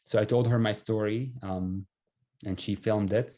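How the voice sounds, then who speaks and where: A man's voice: on the low side, fairly even intonation, measured pace, mellow. A young man from Syria, telling his personal story in a casual, conversational, self-effacing way. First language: Arabic.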